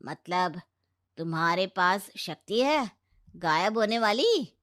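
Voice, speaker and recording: A woman voicing an officious male messenger of death in a cartoon story, with a stern, deadpan, scolding manner. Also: mid-range voice, slow pace, dry recording, clean studio sound, voice pushed down. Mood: stern, mocking. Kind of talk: Hindi cartoon storytelling dub.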